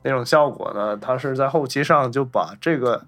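A man's voice: medium pitch, moderate pace, a bit bright. A man co-hosting a podcast, explaining points about film in a casual, conversational discussion style. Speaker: podcast co-host.

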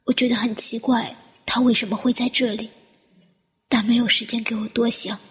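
Woman acting a high-voiced fire survivor, heavily echoing. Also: flat delivery, lightly acted. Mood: subdued, guarded. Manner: dramatic, deliberate delivery. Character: injured woman fire survivor, anguished then coolly guarded, narrating her ordeal. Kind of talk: Chinese audio drama acting with introspective narration. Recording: echoey hall-like reverb, music underneath.

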